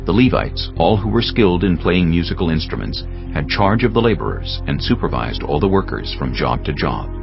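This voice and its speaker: A man narrating dramatized scripture in a solemn, deliberate, scripted style. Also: low voice, sing-song, gravelly. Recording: slightly dull audio with music bed.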